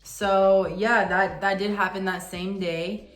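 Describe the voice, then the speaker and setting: A woman's voice: mid-range voice, flat delivery, takes it slowly. Young woman vlogging in an echoey room. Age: young adult. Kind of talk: casual chat to camera.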